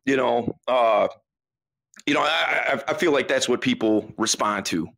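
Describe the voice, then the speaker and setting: A man's voice: medium pitch, a little slow, vocal fry, a bit bright, a little hiss or hum. A man talking as a guest in a casual interview, emphatic and loose, often tossing in "man" as he makes his points. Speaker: podcast guest, dating coach.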